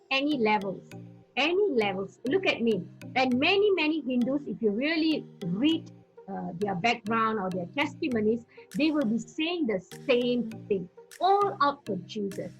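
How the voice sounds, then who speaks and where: An earnest woman evangelist from Singapore speaks in a high, very lively voice, repeating key phrases to press her point home. Soft music plays faintly underneath. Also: rough recording. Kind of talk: teaching testimony.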